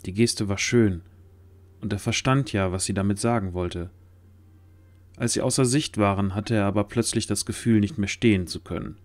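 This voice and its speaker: A man's voice: on the low side, steady tone, normal speed. A man reading scripted narration for a fantasy audio drama. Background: faint music.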